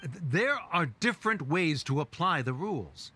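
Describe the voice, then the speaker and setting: A man's voice: fairly high pitch, animated, normal speed, pushed and bright, slightly rough, full character voice. A man playing a pompous, condescending academy official in a video game, lecturing in formal, pedantic phrases. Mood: stern, officious. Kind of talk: video game character acting.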